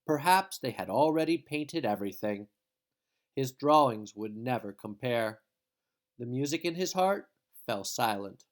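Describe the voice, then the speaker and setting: Man, unhurried pace, mid-pitched voice, expressive children's story narration, dramatic emphasis. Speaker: read-aloud narrator of a children's picture book.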